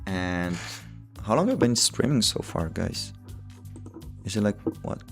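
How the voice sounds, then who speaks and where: Low-voiced Italian man chatting on a stream. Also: lively intonation, a little slow, vocal fry, soft background music. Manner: thinking aloud, chatty, many fillers like "you know" and "like". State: tired. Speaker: chess streamer. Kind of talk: casual live-stream chat while playing.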